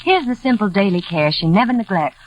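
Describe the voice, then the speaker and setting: A woman's voice: mid-range voice, lively intonation, brisk. A woman delivering a scripted advertisement in 1940s radio style. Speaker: advertisement announcer. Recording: muffled vintage radio recording, faint noise.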